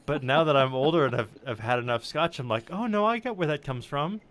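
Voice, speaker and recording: A man's voice: fairly high pitch, animated, a little fast, fairly bright tone. A man chatting casually and telling anecdotes in a rambling, jokey, emphatic way. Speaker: livestream show panelist.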